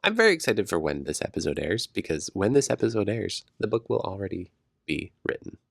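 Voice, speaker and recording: A man co-hosting a casual late-night podcast at home, talking softly and adding caveats, a bit congested. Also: deep voice, big pitch swings, brisk, gravelly, no room sound, studio quality. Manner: quiet and hedging, laughs easily. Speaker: podcast co-host. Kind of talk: casual chat.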